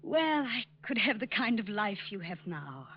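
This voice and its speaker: Lonely, hesitant old-radio heroine. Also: female voice, medium pitch, big pitch swings, moderate pace, breathy edge. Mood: wistful. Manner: breathless, hesitant, emotional. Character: lonely, trusting, wistful unmarried woman in love. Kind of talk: 1940s radio melodrama acting. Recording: dull, noisy old radio recording.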